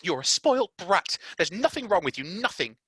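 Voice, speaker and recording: A storyteller voicing a proud, quick-tempered young girl with a high, clipped, indignant voice. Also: wildly swooping pitch, pushed and bright, breathy edge, no room sound, studio quality, exaggerated voice. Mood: bossy. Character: haughty, sharp-tempered young girl, Mary.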